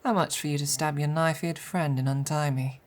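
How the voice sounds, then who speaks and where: A woman's voice: sits low, fairly even intonation, moderate pace, lightly acted. A woman playing a defiant, crude and cocky female bandit in a fantasy audio roleplay, sneering and taunting as she speaks.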